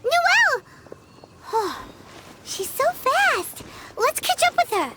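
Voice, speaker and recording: Game role: pint-sized excitable mascot creature; piping high voice, hugely animated, cheeky, noisy effects behind. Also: female voice, very slow, full character voice. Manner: squeaky, cheeky, exclamatory. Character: tiny perky childlike companion creature, cheeky and excitable. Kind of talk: video game voice acting.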